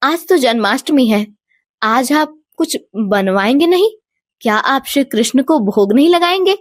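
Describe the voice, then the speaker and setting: Woman as a gentle village mother, high lively voice. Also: a little slow. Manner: gentle, practical. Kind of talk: animated storybook acting.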